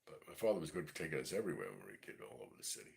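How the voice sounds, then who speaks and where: A man's voice: extremely low pitch, very animated, very fast, gravelly, a little mellow. A man in his forties with a Brooklyn New York accent, rambling casually and profanely on a livestream, full of "you know". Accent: New York City. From East New York, Brooklyn. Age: 40s. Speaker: livestream host. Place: home in Mississippi.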